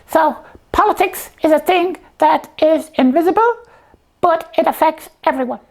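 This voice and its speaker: A tiny, very high puppet voice, belonging to a slipper who hosts a comedy show. It explains things in simple, halting, matter-of-fact phrases and is easily exasperated. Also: female voice, a little mellow. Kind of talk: comic puppet dialogue explaining a topic simply.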